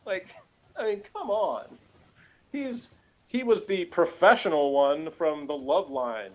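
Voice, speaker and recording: A man's voice: a little high, slow pace, lo-fi sound. A man co-hosting a casual review chat, talking in a rambling, opinionated and sardonic way. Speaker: co-host of a TV episode review show.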